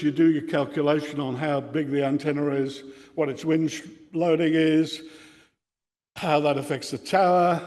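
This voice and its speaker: A man's voice: fairly high pitch, a little mellow. An older man with an Australian accent giving a technical lecture to radio enthusiasts. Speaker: amateur radio club speaker. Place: indoor meeting room with equipment on a bench. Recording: reverberant hall or meeting room.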